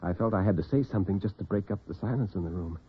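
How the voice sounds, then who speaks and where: An American man telling dramatic tales as host and storyteller in classic radio style, theatrical and expressive. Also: sits low, rapid-fire, husky. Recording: narrow-band vintage radio recording, muffled.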